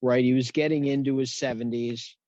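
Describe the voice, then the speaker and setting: A man's voice: medium pitch, steady tone, brisk, darkish tone, no room sound. A man reminiscing casually and in a rambling way on a group video call. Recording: dull, dry video-call audio.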